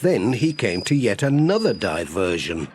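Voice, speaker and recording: A man's voice: on the low side, big pitch swings, normal speed, noisy background. A man narrating a scripted children's audiobook as its storyteller.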